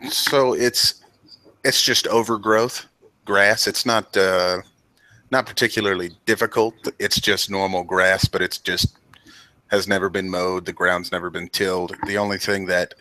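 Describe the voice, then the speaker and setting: A man with a low voice and a bright, forceful tone narrates a tabletop game as its game master. He lays out the terrain and objects steadily and plainly. The sound is close and dry, with no room around it. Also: fairly even intonation, no echo, low-quality recording. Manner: plain, measured scene description. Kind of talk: describing scenes in a tabletop game.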